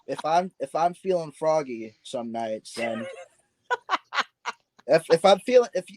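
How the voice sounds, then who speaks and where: Lively rambling man, casual podcast guest. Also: fairly high pitch, unhurried. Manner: rambling, restarts, laughs at himself. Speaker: guest wrestler.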